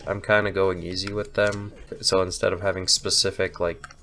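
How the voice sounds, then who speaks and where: A young man with a deep, low voice, stuffed-up and nasal from a cold with a slight hoarseness. He rambles through his voice-over in a loose, self-deprecating way, full of fillers and asides. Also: fairly bright tone, a little hiss or hum. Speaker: indie game developer. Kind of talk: casual gameplay voice-over commentary.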